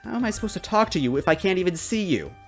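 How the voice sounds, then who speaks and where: A brash, scornful young rich woman, acted out by a man, in a raised, lively voice with music behind. She is blunt, impatient and demanding, like a client in a noir detective story. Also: high voice, very fast, voice pushed up. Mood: huffy.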